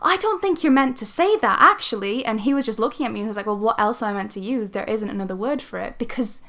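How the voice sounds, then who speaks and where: A woman's voice: fairly high pitch, animated, quick pace, fairly bright tone. A woman co-hosting a podcast in a casual, informal group chat, telling stories in a loose conversational way. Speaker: podcast co-host.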